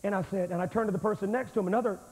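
Fortyish guy preaching on a church stage, high voice, handheld mic, long echo off the hall, old vhs tape sound. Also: racing. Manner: animated, confrontational storytelling, acts out his own lines. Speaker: evangelist preacher. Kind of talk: revival sermon storytelling. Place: large church sanctuary, on stage. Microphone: handheld microphone.

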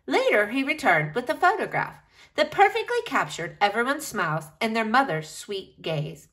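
A woman reading a picture book aloud to children in an expressive, animated way, speaking directly to her young listeners. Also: on the high side, moderate pace.

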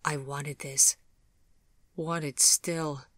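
Woman voicing a soft, devoted young woman. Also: low-pitched, flat delivery, very slow, no room sound, exaggerated voice. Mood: tender. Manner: soft, hushed, tender.

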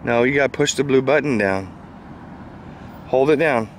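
A mid-pitched father from Southern Illinois, talking his daughter through each step with steady patience. His voice comes through a camera microphone, with faint noise behind it. The delivery is casual and homely, as in a family home video. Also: moderate pace. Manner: patient, step-by-step coaching of a child. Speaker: father filming a toy review.

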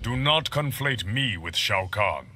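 A man playing a haughty, menacing otherworldly warlord in a video game, gravelly and forceful, speaking formally. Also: on the low side, animated, measured pace, a little hiss or hum. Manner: formal, imperious. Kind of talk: video game villain dialogue.

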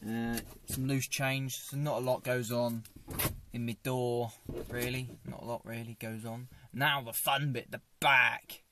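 A man's voice: mid-range voice, flat delivery, unhurried, pushed and bright. Dry, joking young man in a car. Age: young adult. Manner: dry, self-mocking, chatty. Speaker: vlogger. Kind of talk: casual car tour vlog. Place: parked small car, indoors. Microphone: fixed dashboard camera.

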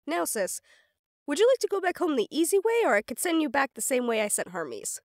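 A woman's voice: high-pitched, sing-song, fairly quick, dry recording, clean studio sound. A woman playing a stubborn, sarcastic young goddess in an audio roleplay drama, teasing and defiant. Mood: firm, teasing.

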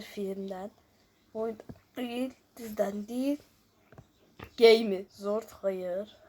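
A woman's voice: mid-range voice, slightly rough. A woman host casually chatting through a guessing game, shifting between muttered asides and chattier remarks to viewers. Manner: alternates muttered asides, often near-whispered, with louder chatty remarks to viewers. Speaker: host of a gaming video. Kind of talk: casual chat while playing a guessing game. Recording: noticeable background noise with clicking.

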